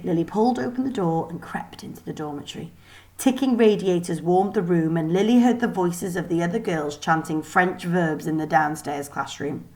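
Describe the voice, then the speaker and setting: A woman reading a children's novel aloud as expressive scripted narration. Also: fairly low pitch. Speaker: storybook reader.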